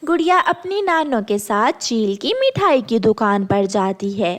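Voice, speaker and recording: A woman's voice: on the high side, sing-song, measured pace. A woman reading scripted narration for a children's animal story.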